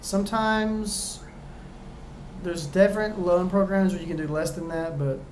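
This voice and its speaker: A man tutoring viewers through real estate exam questions in a casual, chatty way, joking and going a bit hoarse. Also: high voice, fairly even intonation, a little slow, reverberant, a little hiss or hum. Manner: chatty, talks straight to viewers, jokey asides. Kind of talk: casual practice-exam walkthrough.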